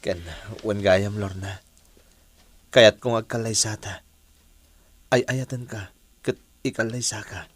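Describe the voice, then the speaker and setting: A man with an Ilocano accent playing an earnest, repentant family man who pleads gently in a radio drama. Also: fairly low pitch, steady tone, slow pace, pauses often, no room sound. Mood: tender. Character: repentant, earnest adult man wooing his estranged family. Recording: dry studio sound, music behind.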